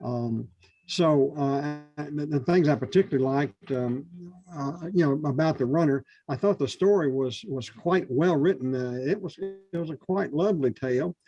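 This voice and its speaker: A man giving spoken feedback on a manuscript to a writers' panel, halting and full of fillers and restarts. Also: on the high side, dark tone, muffled recording, faint music behind. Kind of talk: casual spoken critique.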